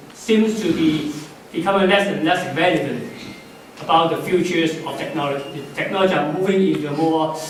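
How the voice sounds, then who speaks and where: A middle-aged man, Cantonese by first language, speaks in a slightly high voice with halting phrases, many fillers and restarts. He is recorded from a distance in a strongly echoing conference hall, with faint noise behind him. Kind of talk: unscripted closing remarks. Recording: echoey hall, far from the mic, faint noise.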